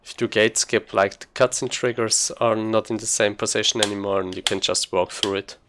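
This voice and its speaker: A man's voice: fairly low pitch, steady tone, a little fast, noisy background. A man giving casual, rambling live commentary as he speedruns a video game, thinking aloud as he plays. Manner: rambling, informal, thinking aloud while playing.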